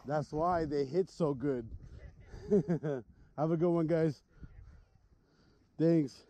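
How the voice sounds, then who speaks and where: A man talking casually as he films himself fishing by a lake, relaxed and joking. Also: on the high side, very slow. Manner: relaxed, joking, laughs often. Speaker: fishing vlogger. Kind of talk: casual vlog chat. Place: outdoors by a lake. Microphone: head-mounted action camera. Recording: outdoor noise on camera mic.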